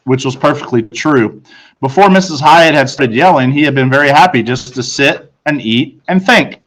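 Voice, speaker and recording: A man reads a children's book aloud in an average-pitched voice. He is a teacher and tells the story expressively. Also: lively intonation, moderate pace, a bit bright, low-quality recording.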